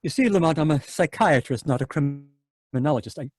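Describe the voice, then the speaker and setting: A man playing a husky, fretful psychiatrist. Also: fairly high pitch, animated, fairly quick, dry recording, studio quality. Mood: anxious. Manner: hesitant, stammering and anxious. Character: worried male psychiatrist doctor. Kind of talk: old-time radio drama acting. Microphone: home mic on a video call.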